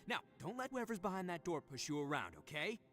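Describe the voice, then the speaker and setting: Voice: mid-range voice, big pitch swings, a little fast, a bit bright. An actor voicing a brash, hearty young oni gang boss in a video game, boisterous, slangy and swaggering. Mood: rowdy, rallying.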